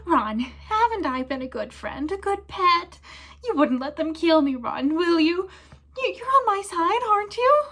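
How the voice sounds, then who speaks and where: A woman voicing a cowardly man groveling for his life, squeaky and whimpering, stammering as they plead in a storybook reading. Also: very animated, unhurried, a bit bright, full character voice. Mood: frantic begging. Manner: stammering, imploring.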